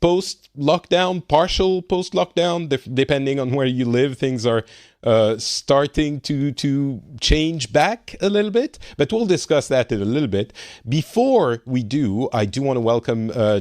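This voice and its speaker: A man with a French accent hosts a casual online talk show, his pitch swinging up and down as he chats. He often restarts sentences and laughs easily. The sound is close and dry, with no room around him. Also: a little mellow, no echo. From France. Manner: chatty, self-correcting, laughs easily. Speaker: podcast host. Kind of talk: casual podcast chat. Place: home in Finland.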